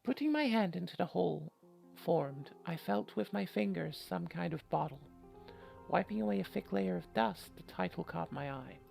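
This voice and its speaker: A gamer voicing a creaky, wry horror-game heroine. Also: medium pitch, expressive, moderate pace, darkish tone, faint music behind, poor audio. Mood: curious, suspicious. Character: Eve, female game protagonist, wry and self-reproaching narrator. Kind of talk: dramatic reading of visual novel narration.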